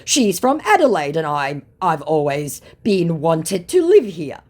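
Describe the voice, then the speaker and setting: A woman voicing a smooth-talking male romance scammer with flattering, broken phrasing. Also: low voice, a little slow, pitched above the performer's own voice, heavily acted.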